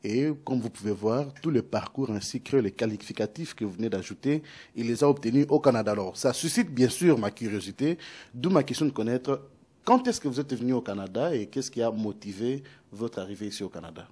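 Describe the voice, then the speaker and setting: A man's voice: medium pitch, lively intonation, brisk, darkish tone, slightly rough. A man hosting a TV interview, speaking formally and addressing the audience directly. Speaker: TV interview host.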